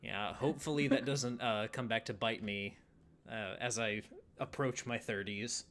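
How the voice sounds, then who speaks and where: A man in his twenties hosting a podcast, asking his guests questions in a relaxed, friendly way. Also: lowish voice, a little slow. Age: 20s. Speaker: podcast host. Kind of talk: casual interview chat.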